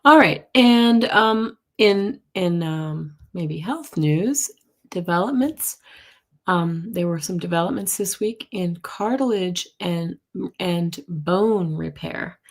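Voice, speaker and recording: A middle-aged woman with a hoarse, husky voice, chatting casually as host of a live tarot and astrology stream and reacting to viewer comments. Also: on the low side, takes it slowly. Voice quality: husky, gravelly voice. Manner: chatty, reacts to comments, coughs and clears throat. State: hoarse from losing her voice.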